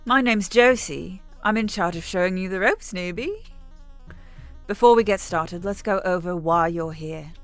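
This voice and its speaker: A woman's voice: fairly low pitch, measured pace, dry recording, faint music behind, voice pushed down. A woman reading out the dialogue of a cheerful female guild supervisor in a video game. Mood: welcoming. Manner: reads game dialogue aloud.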